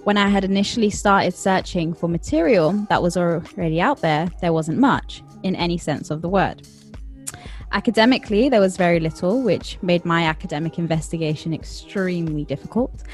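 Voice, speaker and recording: A woman with a London accent hosting a podcast interview, speaking in a measured, thoughtful way with some hesitant fillers. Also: fairly low pitch, faint music behind. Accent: London English. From England. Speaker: podcast host.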